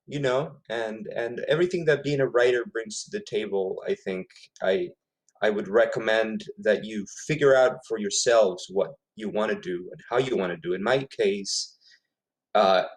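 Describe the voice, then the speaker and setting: A man's voice: on the low side, normal speed, poor audio. A man from Ecuador whose first language is Spanish, speaking as an author guest in a casual interview, thoughtful and often repeating himself as he finds his words.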